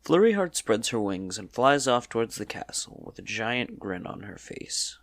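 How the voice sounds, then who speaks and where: A man's voice: on the low side, very animated, moderate pace, vocal fry, dry recording. A man narrating a scripted story in a dramatic storybook style.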